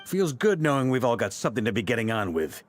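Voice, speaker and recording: An actor playing an easygoing, confident older male commander in a video game, relaxed and casually authoritative. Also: mid-range voice, fast, faint music behind, close to an everyday voice. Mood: composed.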